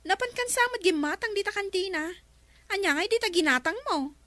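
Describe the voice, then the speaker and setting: An actress with an Ilocano accent playing a teasing, prying, gossipy neighbor in a radio comedy drama. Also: female voice, extremely high pitch, animated, a little fast, pushed and bright, full character voice.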